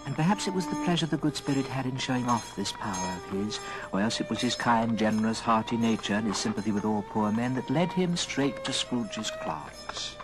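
A man narrating a dramatic story in a theatrical, measured old radio style. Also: medium pitch, expressive, poor audio. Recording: old record, music behind.

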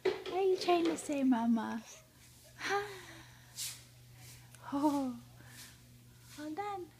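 Woman, parent to a baby: high-pitched, very drawn-out cooing, short repeated phrases, faint noise on a home recording. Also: expressive. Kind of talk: playful baby talk.

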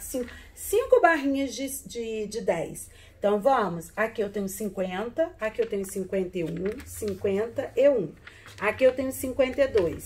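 A woman in her forties teaching young children from a classroom, counting slowly in a sing-song way and repeating each number. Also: mid-range voice. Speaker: primary school teacher. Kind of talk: remote lesson for young children. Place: classroom with wall charts, indoors.

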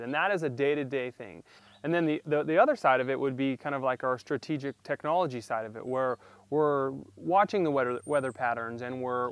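Clear-voiced young Californian man. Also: medium pitch, no echo. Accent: Californian American. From Sonoma County, California, US. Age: young adult. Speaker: winery viticulturist. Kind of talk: casual on-camera interview for a promotional profile. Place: outdoor garden patio beside a building. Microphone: lapel mic.

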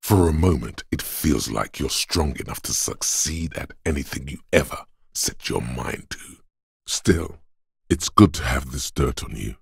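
Very deep, husky macho inner voice. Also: male voice, lively intonation, no room sound, clean studio sound, exaggerated voice. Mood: grave, intense. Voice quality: husky, gravelly bass. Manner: slow, deliberate, weighty delivery. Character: gruff macho inner voice of the body, coach-like and goading. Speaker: video game voice actor. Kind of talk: second-person game narration, scripted.

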